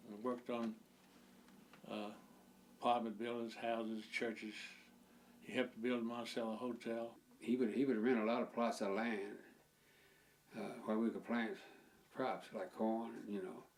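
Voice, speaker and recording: A man's voice: lowish voice, level tone, a little slow, long pauses, low-quality recording. An eighty-year-old man from Virginia, recalling his family's farm life in an oral history interview. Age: 80s.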